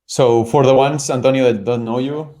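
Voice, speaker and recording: Man host, English, casual, lots of uh and like, middle pitch. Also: fairly even intonation, normal speed, mellow. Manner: loose, filler-heavy questioning. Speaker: podcast host. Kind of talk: casual interview chat.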